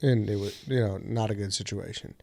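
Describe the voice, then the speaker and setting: A man's voice: on the low side, steady tone, a little fast, vocal fry, dark tone, no echo, clean studio sound. A man co-hosting a podcast, talking in loose, profane banter. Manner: loose, swearing, laughing banter. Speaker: podcast co-host. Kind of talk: casual chat.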